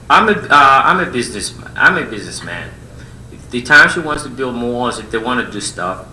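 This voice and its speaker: Bright-voiced impassioned man at public comment. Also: medium pitch, reverberant, a little hiss or hum, low-quality recording. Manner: impassioned, halting, pleading. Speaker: resident speaking at public comment. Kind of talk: public comment at a council meeting. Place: township meeting hall.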